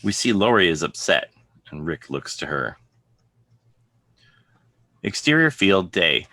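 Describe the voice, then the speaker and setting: Deep-voiced man narrating stage directions. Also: very animated, takes it slowly, bright, forceful tone, no echo. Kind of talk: scripted narration for an online comic table read. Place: video call. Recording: online video call.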